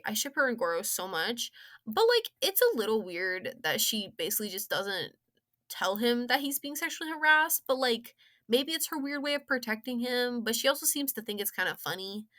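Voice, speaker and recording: Young female game reviewer, higher register, sharp bright tone, casual and sarcastic, slangy, animated delivery. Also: normal speed. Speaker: video game story reviewer. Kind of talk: casual rambling review commentary.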